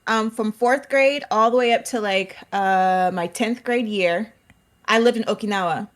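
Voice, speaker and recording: A woman's voice: mid-range voice, a little slow. A woman in her thirties, a podcast guest chatting casually and expressively, often laughing and acting out what others said.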